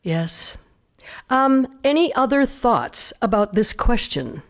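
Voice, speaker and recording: An actress playing a polite, attentive woman interviewer in a live radio theater piece, courteous and deliberate in her delivery. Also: on the high side, sing-song, exaggerated voice.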